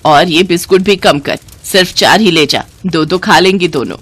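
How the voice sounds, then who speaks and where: A woman's voice: lowish voice, moderate pace, a bit bright, slightly rough. A woman voicing a miserly, bossy older mother-in-law who scolds and complains in a storybook tale. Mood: stingy, bossy.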